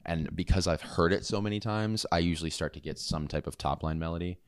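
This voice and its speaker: A man's voice: sits low, fast, clean tone, no room sound, studio quality. A young man, a music artist and producer, chatting casually in an interview in a laid-back way, peppering his talk with "like" and "dude".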